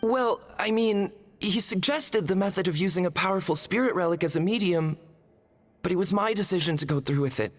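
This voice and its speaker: A man's voice: high voice, fairly quick. An actor playing a timid, guilt-ridden young man in a video game, earnest and stammering. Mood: ashamed, earnest.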